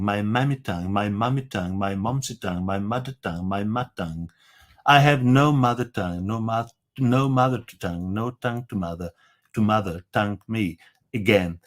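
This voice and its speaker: Hesitant man lecturing with an Italian accent. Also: on the low side. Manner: hesitant, many fillers, stresses words when reading. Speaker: teacher. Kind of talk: lecture with poem reading.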